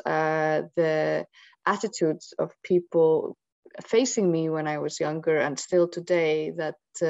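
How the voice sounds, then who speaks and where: Gravelly low-voiced Icelandic woman. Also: darkish tone, dry recording. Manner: thoughtful, many hesitations. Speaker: guest speaker. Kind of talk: presenting a webinar talk. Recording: dry lo-fi video call audio.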